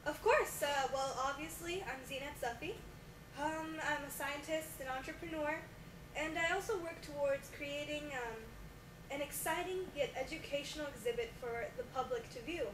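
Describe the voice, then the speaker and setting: A woman with a high voice answers as a guest on a mock talk show. She speaks hesitantly, with plenty of fillers along the way. She sits away from the microphone in an echoey space, under a loud layer of noise. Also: normal speed, distant mic. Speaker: guest scientist.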